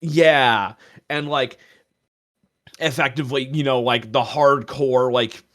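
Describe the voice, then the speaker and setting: A man's voice: medium pitch, slow, fairly bright tone. A man hosting a podcast in casual group discussion, loose and wry, with some swearing. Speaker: podcast host.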